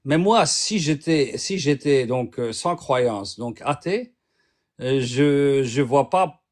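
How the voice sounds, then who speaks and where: Halting man from northern Morocco. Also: mid-range voice, lively intonation. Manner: halting, many restarts and fillers, searches for words. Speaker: caller in a debate. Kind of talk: hesitant casual argument.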